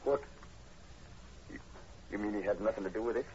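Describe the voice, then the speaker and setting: An actor playing a terse, commanding police sergeant in old radio horror, authoritative and no-nonsense. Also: male voice, fairly low pitch, a little fast, warm tone, close to an everyday voice. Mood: stunned. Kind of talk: 1940s radio horror acting. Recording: muffled, faintly hissy old radio recording.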